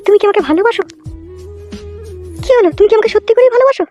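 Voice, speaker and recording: Very high-pitched wronged cartoon wife. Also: female voice, rapid-fire. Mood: softening. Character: shrill wronged young married village woman, wary then yielding. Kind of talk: comic cartoon dialogue. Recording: dull lo-fi audio with music.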